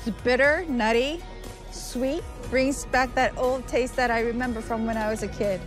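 A woman in her fifties reporting on location in a chatty, excited way. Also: a little high, moderate pace, over music. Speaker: field reporter.

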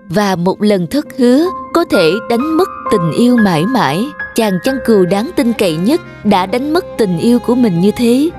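A woman storyteller reading scripted fairy-tale narration. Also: a little high. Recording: music behind the voice.

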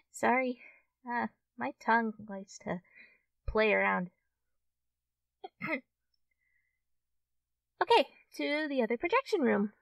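A sweetly awkward, easily excited young fox girl, acted by a woman in a friendly ASMR roleplay. Her voice sits fairly high and she takes her time, hesitating as she goes. The sound is dry, with no room echo. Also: creaky, recorded from a distance, heavily acted. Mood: puzzled, sheepish. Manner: hesitant, halting, giggly, addressing the listener as a friend. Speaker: roleplay performer.

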